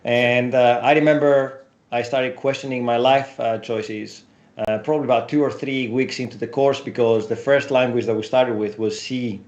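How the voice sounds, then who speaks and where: A man in his forties whose first language is Greek, a guest telling his career story in a casual interview with animated asides. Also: medium pitch, moderate pace, highs cut off. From Europe.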